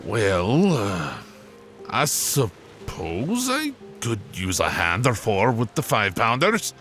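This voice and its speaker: An actor with a Scottish accent playing a kindly, bashful master gunner in an audio drama, halting and stammering as he talks. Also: on the low side, huge pitch swings, fairly bright tone, soft background music, heavily acted. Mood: earnest, deferential.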